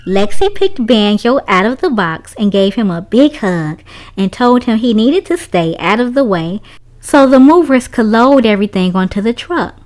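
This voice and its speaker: Animated, higher-pitched woman reading a storybook. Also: moderate pace. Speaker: children's storybook reader. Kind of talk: read-aloud story narration.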